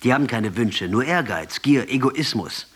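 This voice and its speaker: An actor in a German radio play voicing a fervent, mocking revolutionary commander with a crude mouth. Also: male voice, mid-range voice, expressive, normal speed, a bit bright, breathy edge. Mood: fervent conviction. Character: fervent, defiant Latin American guerrilla commander and revolutionary. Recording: noticeable background noise.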